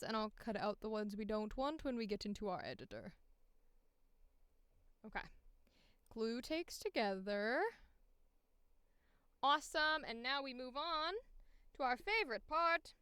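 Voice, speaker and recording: Bright, high-voiced woman chatting casually. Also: clear, resonant tone, no room sound. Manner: talks to self, swears freely, playful. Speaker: streamer and voice actor. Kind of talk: casual livestream chat while editing audition takes.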